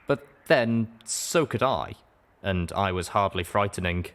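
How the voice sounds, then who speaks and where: Male story narrator: low register, expressive swings in pitch, scripted first-person reading. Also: measured pace. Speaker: audiobook narrator.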